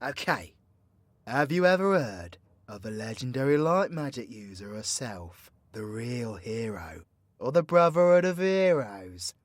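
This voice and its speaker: A man's voice: mid-range voice, big pitch swings, a little slow, no room sound. A man playing a cocky, foul-mouthed, playfully teasing supervillain mentor in an audio roleplay, with a British accent and a slangy, swaggering, theatrical delivery. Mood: confiding, storytelling. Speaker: voice actor. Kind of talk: villain ASMR audio roleplay, addressing the listener.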